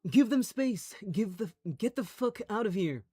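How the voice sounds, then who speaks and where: A man's voice: sits high, expressive, a little slow, mellow, husky, no echo, voice pushed up, exaggerated voice. A man voicing a foul-mouthed, possessive young man in a dramatic audio roleplay, aggressive and commanding. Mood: angry, protective.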